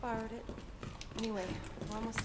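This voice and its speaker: A woman's voice: fairly high pitch, flat delivery, fairly quick, close to an everyday voice. Guarded wounded female agent over heavy noise, acted. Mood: guarded, wry. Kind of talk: video game cutscene acting.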